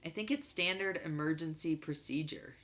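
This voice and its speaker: A measured, reassuring father from a children's novel, read by a woman. The voice is bright and clear-edged, reasoning calmly with his child. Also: low voice. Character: calm reasoning father, middle-aged man.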